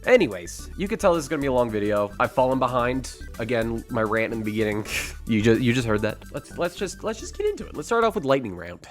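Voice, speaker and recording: A man's voice: mid-range voice, big pitch swings, fast, faint music behind. A young man casually recapping his fantasy football league, rambling and self-mocking, getting hyped over big plays.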